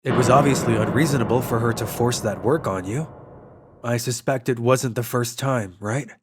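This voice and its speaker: A man's voice: mid-range voice, normal speed, background noise, natural voice. An actor voicing a cool, stern young male CEO in a manga dub, blunt and commanding in manner.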